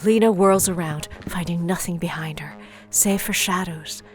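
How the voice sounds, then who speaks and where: A woman's voice: fairly low pitch, normal speed, faint music behind. A woman reading scripted dramatic narration for an audio drama.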